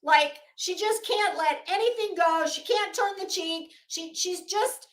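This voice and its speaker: A woman giving a casual, blunt, profane commentary to viewers, speaking emphatically and haltingly with drawn-out words. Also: very high-pitched. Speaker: online commentary host. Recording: roomy echo.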